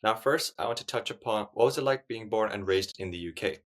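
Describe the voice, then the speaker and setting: A man's voice: lowish voice, brisk. A young man from Hong Kong hosting an interview podcast, asking polite, scripted-sounding questions. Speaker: podcast host.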